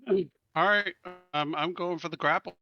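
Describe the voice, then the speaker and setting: A man's voice: on the high side, moderate pace, muffled recording, soft background music. A man talking casually as a player in a tabletop roleplaying game.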